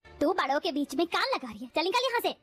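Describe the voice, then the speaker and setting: A woman's voice: extremely high pitch, sing-song, fast talker, a bit bright, faint music behind, heavily acted. A woman voicing a cruel, domineering mother-in-law in a cartoon who scolds and taunts. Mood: taunting.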